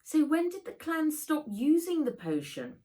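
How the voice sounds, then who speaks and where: A woman's voice: sits high, big pitch swings, measured pace, warm tone, voice pushed up. A woman reading a storybook in the voice of a bold, questioning young girl.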